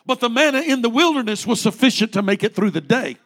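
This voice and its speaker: A man's voice: very high voice, expressive, fast talker, a bit bright. A man in his late forties, a pastor preaching a fervent sermon with rising repeated refrains. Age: 40s.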